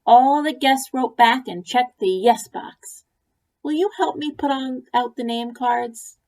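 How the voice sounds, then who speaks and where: A woman's voice: a little high, slow pace, voice pushed up. A bossy little girl bunny, as a woman reads her lines. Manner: bright, emphatic, bossy.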